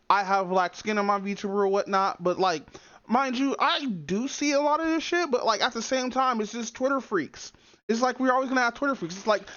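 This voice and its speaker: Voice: mid-range voice, fairly bright tone, dull audio. A streamer with a feminine-sounding voice, talking casually over a reaction video in a profane, rambling way full of fillers and restarts.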